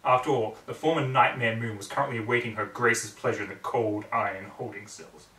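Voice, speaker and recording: A man's voice: medium pitch, fairly even intonation, a little fast, sharp tone. Echoey man reading a story dramatically. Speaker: narrator. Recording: roomy echo, distant microphone.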